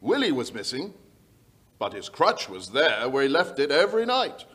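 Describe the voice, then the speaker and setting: A man's voice: medium pitch, wildly swooping pitch, moderate pace, fairly bright tone. A middle-aged man playing a worried, polite aristocratic landowner, telling his tale hesitantly in old-time radio style. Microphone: shared stage microphone.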